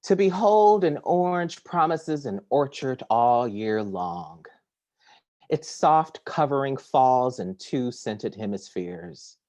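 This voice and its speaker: A man giving a poetry reading over a video call from his kitchen table. He reads at an unhurried, deliberate pace, and his pitch sits in a middle range. Between poems he introduces the next piece with soft, hesitant fillers. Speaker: poet reading at a virtual series. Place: home kitchen or similar domestic room.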